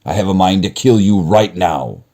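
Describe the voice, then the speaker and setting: A man's voice: low-pitched, expressive, moderate pace, warm tone, pitched above the performer's own voice. A man voicing a monstrous fairy-tale beast, menacing yet lovelorn, with grand, emphatic delivery. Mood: angry.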